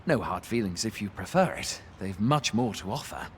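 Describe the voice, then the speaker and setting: Village innkeeper, fantasy game, male actor: folksy, hospitable, modest, animated intonation, some ambience behind. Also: on the low side, normal speed, slight background noise, natural voice. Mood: friendly. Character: plain-spoken, kindly male fantasy innkeeper, modest and helpful. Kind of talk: scripted fantasy game dialogue, folksy archaic English.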